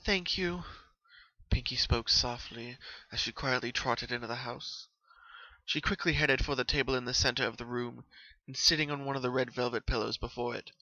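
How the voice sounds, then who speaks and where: A man reading a story as narrator, in an expressive, earnest dramatic style. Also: medium pitch, a little fast, dry recording, highs cut off.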